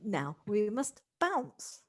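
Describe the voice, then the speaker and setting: A woman's voice: medium pitch, sing-song, drawn-out words, darkish tone, exaggerated voice. A woman in an amateur audio drama playing an exuberant, affectionate lady, eager and effusive, often repeating her words. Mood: giddy, coaxing. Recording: home recording on a personal device.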